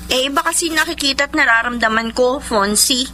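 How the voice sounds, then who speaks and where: A woman's voice: high-pitched, a little fast, sharp tone, slight background noise. A woman playing a jealous, lovesick young woman in a radio drama, plaintive and emotional. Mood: hurt, pleading. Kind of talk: Filipino radio drama acting.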